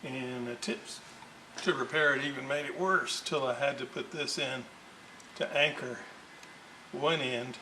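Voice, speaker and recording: A man talks through a hands-on repair at a very slow pace, pausing and thinking aloud as he goes. His voice sits at a middling pitch. The recording carries clear background noise. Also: pushed and bright, rough recording. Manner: halting, thinking aloud while working. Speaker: hobbyist restorer presenting a repair video. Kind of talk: unscripted hands-on workbench demonstration.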